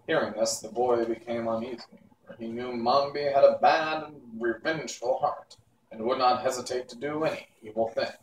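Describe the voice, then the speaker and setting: A man reading a classic children's book aloud in an unhurried, expressive storytelling manner. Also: medium pitch. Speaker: audiobook reader. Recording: echoey room, distant mic, faint hiss.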